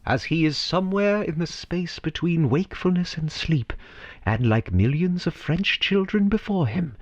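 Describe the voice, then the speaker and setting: A man narrating a scripted story theatrically, with dramatic, deliberate phrasing and pauses. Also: a little high, animated, dry recording, lo-fi sound.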